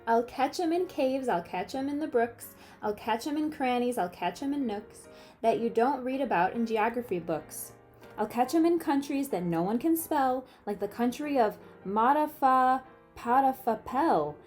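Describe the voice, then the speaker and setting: Storybook boy dreamer played by a woman: boastful, eager, singsong rhymes, mellow and slightly high. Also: expressive, normal speed, faint music behind, lightly acted.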